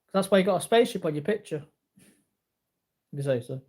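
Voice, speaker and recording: A man in his early forties with a slightly high voice speaks off the cuff to his viewers. He rambles in a casual, boastful way, circling back and repeating his phrases. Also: expressive, fast talker, darkish tone, husky. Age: early 40s. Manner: repetitive, boastful. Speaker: martial arts vlogger.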